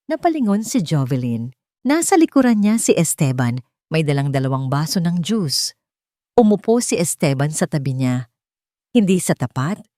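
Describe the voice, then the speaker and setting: A Filipino-accented woman narrates a dramatic love story as a scripted audio tale. Her voice is low and mellow, and it sits dry in the recording with almost no room sound. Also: no echo.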